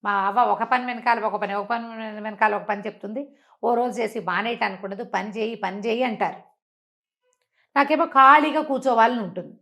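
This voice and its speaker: A woman's voice: a little high, moderate pace, sharp tone. A woman voicing a lazy, carefree village boy who grumbles about work, in an oral bedtime folktale. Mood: complaining.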